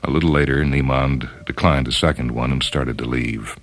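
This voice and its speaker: Very deep-voiced man narrating fiction. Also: fairly even intonation, moderate pace. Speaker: host and story reader. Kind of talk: dramatic scripted narration of speculative fiction. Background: music and sound cues.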